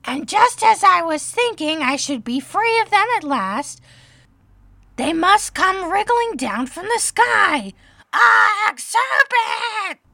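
An agitated mother pigeon from a storybook, piercingly high and sharp-edged. The bird scolds in a creaky, shrieking way, indignant and full of contempt. Every complaint is plaintive and emphatic. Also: very animated, unhurried, no echo, heavily acted. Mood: shrill exasperation. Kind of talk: dramatised storybook reading.

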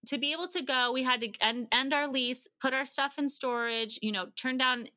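A woman's voice: high voice, normal speed, no room sound, clean studio sound. A middle-aged woman telling stories in a casual, chatty interview style, as a podcast guest. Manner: chatty, animated retelling of exchanges in quoted dialogue. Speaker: podcast guest sharing family travel experience. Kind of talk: casual conversational storytelling.

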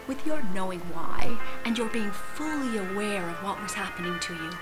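An actress in an audio drama playing a bitter, vengeful, betrayed woman, with a deliberate, biting and theatrical delivery. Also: medium pitch, animated, over loud music, natural voice, low-quality recording. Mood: cold menace.

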